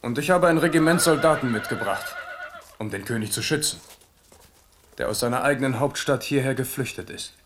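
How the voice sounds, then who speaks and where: A man's voice: medium pitch, a little fast, background noise, close to an everyday voice. A man playing a proud, wary and outspoken young king and army leader in a German radio play. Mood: urgent, deferential.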